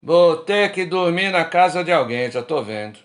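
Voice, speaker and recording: A man's voice: a little high, animated, moderate pace, natural voice. A man with a Brazilian accent playing a tipsy middle-aged friend, talking colloquially and frankly in a radio drama. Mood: teasing, tipsy. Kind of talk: radio drama acting, casual bar chat.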